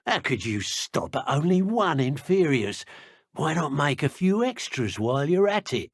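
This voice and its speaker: An actor playing a contemptuous, menacing dark wizard henchman in a video game, with a haughty, theatrical, sneering delivery. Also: lowish voice, creaky, husky, dry recording, clean studio sound, full character voice. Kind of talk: video game combat barks and banter.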